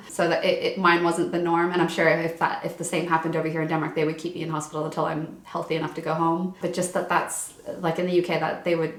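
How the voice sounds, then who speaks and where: A woman's voice: fairly low pitch, fairly bright tone. A young woman vlogging in a casual, chatty way, full of fillers and restarts. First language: English. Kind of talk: casual chat. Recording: echoey room.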